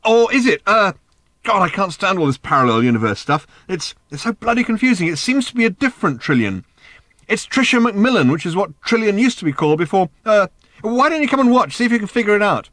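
A man's voice: medium pitch, huge pitch swings, quick pace, no room sound, pitched above the performer's own voice. A man voicing a bewildered, mild-mannered, fretful everyman in an audiobook, hesitant and easily flustered. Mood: excited, flustered. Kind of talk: acted audiobook dialogue.

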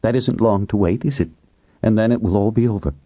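Man as obsessive vengeful woman, lifted pitch, controlled, deliberate, novel character. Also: slightly rough, dry recording, dull audio. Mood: tearful calm. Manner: calm, murmuring, deliberate, snapping when challenged. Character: grieving, obsessive middle-aged woman set on revenge.

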